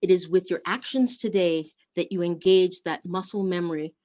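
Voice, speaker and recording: A woman leading a church service, speaking and reading in a measured, deliberate way. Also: lowish voice, fairly even intonation, warm tone. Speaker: worship service leader. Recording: dull, lo-fi online audio.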